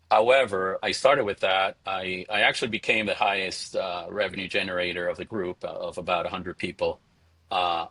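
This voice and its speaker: A middle-aged man from Venezuela answering interview questions conversationally, in a halting way, repeating words as he thinks. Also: low voice, a bit bright, no echo. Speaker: interview guest, spirits entrepreneur.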